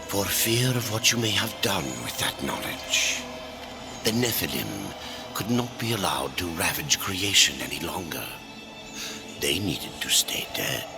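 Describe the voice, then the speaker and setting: An actor playing an ancient, knowing male guide in a fantasy game, speaking in a solemn, measured and cryptic way. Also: low-pitched, very animated, vocal fry, over music, full character voice. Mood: knowing, grave. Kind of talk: dramatic game cutscene acting.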